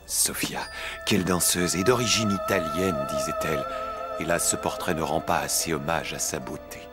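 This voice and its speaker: Very deep-voiced, courteous cartoon painter. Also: male voice, normal speed, loud music behind the voice. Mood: wistful. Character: courteous Montmartre painter, wistful about the past. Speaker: voice actor. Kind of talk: French animated series dialogue.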